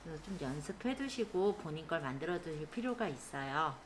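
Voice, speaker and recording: A woman's voice: deep voice, animated, a little fast, a little hiss or hum. A woman instructor giving a step-by-step spreadsheet lesson, explaining and often checking in with short tag questions. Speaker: computer skills instructor.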